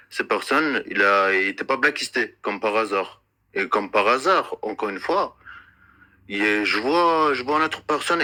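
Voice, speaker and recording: A man making an unscripted, accusatory complaint in an insistent, repetitive and halting way, searching for words. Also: medium pitch, sharp tone.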